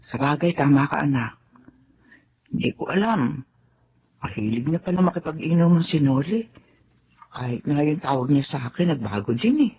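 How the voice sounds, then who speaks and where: A woman's voice: low voice, animated, normal speed, pauses often, a little mellow, slight background noise. Devoted middle-aged housemaid mother, muffled sound. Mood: concerned, earnest. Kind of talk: Filipino radio drama acting.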